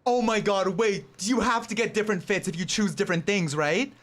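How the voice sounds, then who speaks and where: A woman's voice: medium pitch, fairly quick. A woman game streamer chatting casually and reacting live with gushing, slangy exclamations.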